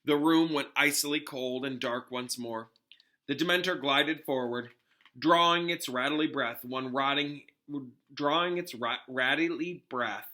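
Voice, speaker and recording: A man, a teacher, reading a novel aloud as expressive storybook narration. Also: medium pitch, unhurried.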